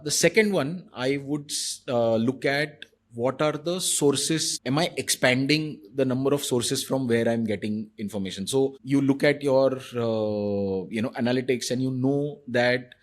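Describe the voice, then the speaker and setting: A man of middle pitch talks through his ideas as an interview guest, laying out points one by one. He explains patiently, often pausing on small fillers as he thinks. His tone is conversational and measured. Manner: thoughtful, explanatory, frequent fillers like "you know". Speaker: marketing executive guest.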